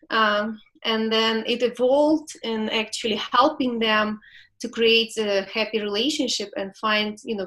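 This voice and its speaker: A woman's voice: a little high, low-quality recording. Ukrainian woman, laughing often. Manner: warm, laughs often, searching for words. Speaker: relationship coach, guest. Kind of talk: reflective interview talk.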